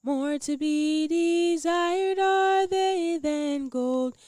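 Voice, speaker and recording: Female singer for scripture song: high, very slow, dry sound over music. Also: a little mellow, no echo. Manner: sung, drawn-out phrases. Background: musical accompaniment.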